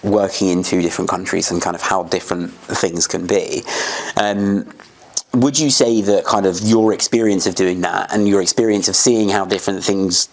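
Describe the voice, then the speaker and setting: Lowish male host, chatty, rambly, lots of um and kind of. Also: steady tone, moderate pace, vocal fry, low-quality recording. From United Kingdom. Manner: rambling, many fillers and restarts. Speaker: podcast host. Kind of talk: casual interview chat.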